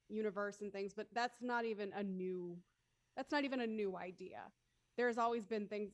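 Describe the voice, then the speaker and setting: A woman hosting a live-stream panel discussion, feeling her way through questions with halting, circling phrasing. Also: medium pitch. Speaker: host, moderator.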